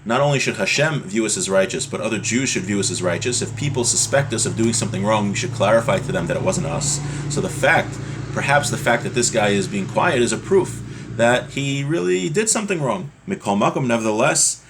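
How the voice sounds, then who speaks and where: A man's voice: fairly low pitch, lively intonation, brisk, background noise. A man giving an explanatory religious lesson, reading Hebrew text and translating it into English in a conversational way with examples. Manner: conversational, explains with hypothetical examples and acted-out quotes. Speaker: religious teacher giving a Torah class.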